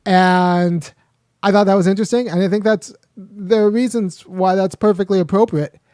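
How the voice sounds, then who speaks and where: A man's voice: sits high, steady tone, moderate pace, darkish tone, no room sound, clean studio sound. A man with young children hosting a casual, rambling commentary monologue, often restarting his sentences. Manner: rambling, frequent restarts and fillers. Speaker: host.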